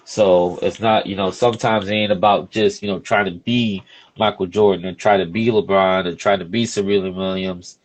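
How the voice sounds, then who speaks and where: A man's voice: sits low, fairly even intonation, normal speed. A man in his early thirties talking casually as a podcast host, informal and often checking in with "you know" phrases. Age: early 30s. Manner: informal, peppered with "you know what I'm saying". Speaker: podcast host being interviewed. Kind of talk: casual conversational interview talk.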